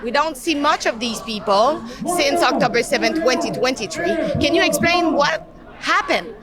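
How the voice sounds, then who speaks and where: A woman reporter asking measured questions at an outdoor protest. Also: high-pitched, expressive. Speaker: street reporter. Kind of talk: interview questions. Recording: loud crowd noise outdoors.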